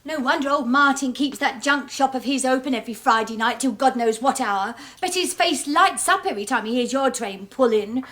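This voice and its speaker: Sharp-tongued cockney wife, high voice, scoffing at everything. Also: female voice, lively intonation. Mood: scornful. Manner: scornful, sarcastic, quick retorts. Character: sharp-tongued nagging working-class wife. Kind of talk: radio drama acting.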